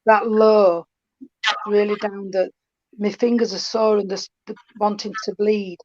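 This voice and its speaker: A woman works as a medium, speaking in a tender, endearing way and sprinkling darling and sweetheart through her reading. Her voice sits at an ordinary middle pitch. It comes through a dull, lo-fi online stream with a faint hiss behind it. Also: level tone, unhurried, darkish tone. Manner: affectionate, calls the sitter darling and sweetheart. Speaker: spiritualist medium.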